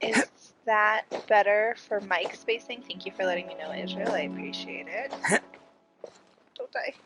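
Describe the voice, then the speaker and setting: A woman's voice: mid-range voice, a little slow, a bit bright, low-quality recording. A congested woman chatting casually and wryly to viewers as she live-streams a game. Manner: playful, wry, chatty asides to viewers. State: congested, breathing heavily. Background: game music.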